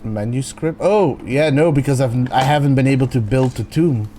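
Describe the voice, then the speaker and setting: A man with a Belgian accent chats casually as he plays, thinking his moves out loud. His voice sits in a mellow, soft tone at a middling pitch. A faint hiss runs under the recording. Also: moderate pace. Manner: thinking aloud, relaxed and chatty. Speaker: streamer. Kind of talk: casual chat while gaming.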